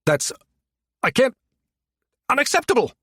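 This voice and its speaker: A man's voice: mid-range voice, big pitch swings, normal speed, no room sound, studio quality. A man voicing an irritable, pedantic male scientist with a fussy, indignant manner in an audiobook. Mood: spluttering indignation.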